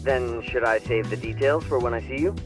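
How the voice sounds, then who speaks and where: A man's voice: medium pitch, fairly quick, music in the background, close to an everyday voice. A man with an American accent acting an eager, upbeat young detective in a video game dub, talking in friendly slang. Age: young adult. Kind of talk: English game dub acting, casual call.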